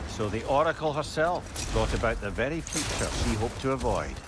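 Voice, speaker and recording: An actor playing a witty, talkative older sage with a wry storyteller's manner in a video game. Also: male voice, medium pitch, lively intonation, normal speed, background noise, natural voice. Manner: chatty, wry, lilting storyteller. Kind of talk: dramatic video game acting.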